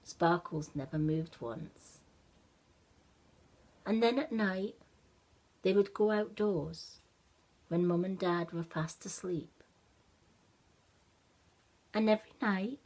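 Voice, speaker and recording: A woman storyteller narrating a children's tale in an expressive storytime style. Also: lowish voice, takes it slowly, long pauses, poor audio.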